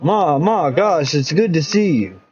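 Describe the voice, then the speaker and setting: Man doing a young soldier home from war, folksy, easygoing, highish voice, lo-fi. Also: animated, measured pace, darkish tone, slight background noise. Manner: plainspoken, folksy. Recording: dull lo-fi recording.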